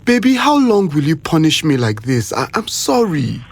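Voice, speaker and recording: Pleady nervous nigerian boyfriend, stammers, voice goes up and down a lot. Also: male voice, a little high, sing-song, normal speed, a little hiss or hum. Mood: pleading. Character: pleading, apologetic young boyfriend, anxious smooth-talker. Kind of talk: audio drama acting.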